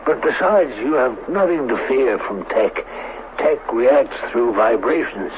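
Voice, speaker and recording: An actor playing an obsessed, proud male scientist in a 1970s radio drama, speaking in a deliberate, grandiose, theatrical manner. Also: mid-range voice, expressive, phone-quality sound, close to an everyday voice. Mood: reassuring. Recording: noisy, thin 1970s radio broadcast.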